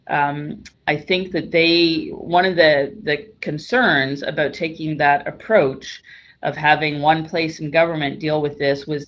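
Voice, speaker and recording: A woman speaking as a conference panelist, reflective and measured, with occasional "uh"s. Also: low voice. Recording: dull muffled live-stream audio with faint noise.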